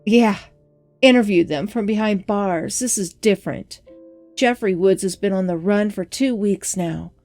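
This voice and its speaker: A woman's voice: medium pitch, measured pace, a little mellow, close to an everyday voice. A woman playing a worried, pleading young assistant newspaper editor in an audio drama, speaking earnestly and insistently. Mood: uneasy, insistent. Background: music bed.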